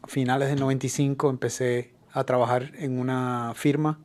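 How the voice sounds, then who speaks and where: Middle aged guy from new york, cuban parents, guest on radio, lots of ums, mid pitch. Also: little pitch movement, normal speed, a little mellow. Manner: hesitant, lots of fillers. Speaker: guest, industrial real estate expert. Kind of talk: radio interview.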